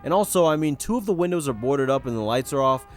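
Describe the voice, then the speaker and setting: A man's voice: mid-range voice, animated, fast talker, soft background music. A man giving casual scripted commentary with joking asides and a dry, sarcastic, understated delivery. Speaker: video essayist, host.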